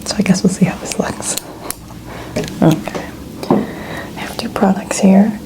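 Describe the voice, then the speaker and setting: A woman in her twenties speaking in a soft, breathy near-whisper, chatting casually and gently as an ASMR host. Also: mid-range voice, a little slow, rough recording. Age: 20s. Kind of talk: soft-spoken ASMR, casual personal chat.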